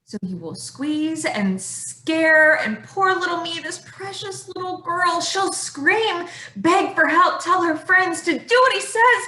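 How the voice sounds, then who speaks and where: An actress playing a fearless young woman vigilante in a staged play reading, mocking and deliberate. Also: very high-pitched, sharp tone, exaggerated voice. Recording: roomy, echoing sound.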